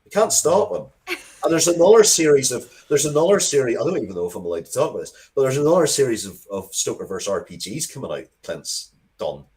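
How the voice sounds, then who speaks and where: A man's voice: medium pitch, big pitch swings, a little fast, a little mellow. A man chatting casually as an interview guest, rambling and often breaking off to restart his sentences. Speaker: interview guest, comics and game writer.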